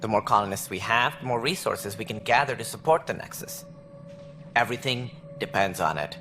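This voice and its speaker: An actor playing a calculating, self-assured alien director in a video game, speaking in a measured, formal, condescending way. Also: male voice, lowish voice, bright, forceful tone, soft background music. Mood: authoritative. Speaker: director of a space initiative. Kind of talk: video game cutscene acting.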